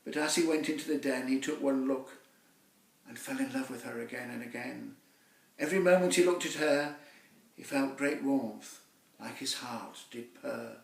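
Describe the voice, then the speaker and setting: A man reading a rhymed children's story poem aloud with theatrical, deliberate phrasing. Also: medium pitch, gravelly. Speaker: poet reading his own children's poem. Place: echoey room, away from the mic. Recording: roomy echo, distant microphone.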